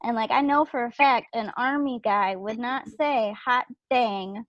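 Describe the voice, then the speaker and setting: Woman in an online group chat. Higher-pitched voice, casual, dry but slightly muffled call audio. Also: a little slow, no room sound. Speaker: participant giving script feedback. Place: indoors on a video call. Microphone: video call microphone.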